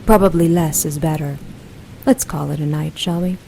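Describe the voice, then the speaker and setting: An actress playing a commanding supernatural villainess in an audio drama, coolly menacing, languid and condescending. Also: female voice, deep voice, fairly even intonation, normal speed, gravelly, dark tone, a little hiss or hum, poor audio.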